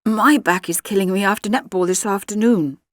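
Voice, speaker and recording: A woman's voice: medium pitch, moderate pace, dry recording, clean studio sound, natural voice. A woman voicing a plucky teenage schoolgirl in an audiobook story. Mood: complaining.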